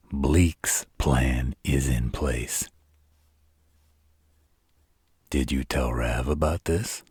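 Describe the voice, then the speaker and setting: A man voicing a gruff, world-weary, protective supernatural fighter in a roleplay audio drama, with a raspy, breathy voice and a deliberate, slangy way of talking. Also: rumbling low, a little mellow, no echo, full character voice. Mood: probing.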